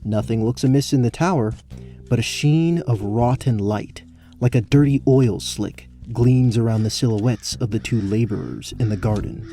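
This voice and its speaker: Male narrator, fantasy story podcast, soft-edged tone, lively intonation, faint music behind. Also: mid-range voice, moderate pace. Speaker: narrator, game master.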